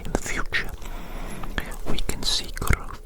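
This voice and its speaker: Hushed whispering ASMR roleplayer, meticulous. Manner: unhurried, repeats phrases softly, meticulous. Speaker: ASMR performer. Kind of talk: ASMR roleplay, whispered measuring and note-taking.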